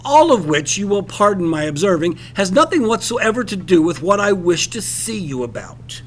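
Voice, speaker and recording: Prim, nervous gentleman castaway for an audiobook played by a man: high, stammering, indignant. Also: sing-song, moderate pace, slight background noise. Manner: stammering, impetuous, formal.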